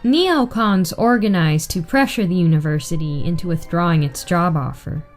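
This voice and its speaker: A woman reading a written political article aloud in a measured, deliberate way. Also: on the low side, faint music behind. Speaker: reader.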